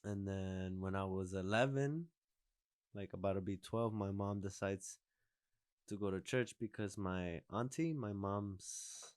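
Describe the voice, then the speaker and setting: A man's voice: sits low, a little slow, a little mellow, clear, resonant tone, dry recording, studio quality. A man in his twenties hosting a podcast, chatting casually, rambling and restarting his sentences. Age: 20s. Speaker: podcast host. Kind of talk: casual chat.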